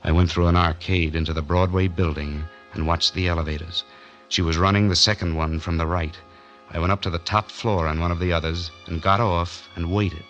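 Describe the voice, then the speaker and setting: A man's voice: low voice, fairly even intonation, fairly quick, a bit bright. An actor playing a broke, hunted man who narrates his own story in terse, slangy 1940s radio noir style. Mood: tense, weary. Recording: muffled vintage 1940s radio, music underneath.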